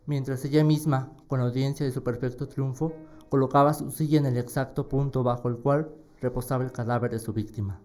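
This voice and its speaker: A man narrating a scripted radio drama in a measured, dramatic storytelling manner. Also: mid-range voice, steady tone, darkish tone. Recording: clear music behind the voice.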